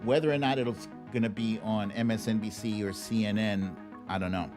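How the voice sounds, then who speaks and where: A man hosting a live show with casual, conversational commentary, using playful emphasis and dramatic pauses. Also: on the low side, fairly even intonation, over music. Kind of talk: casual livestream commentary, reading viewer comments.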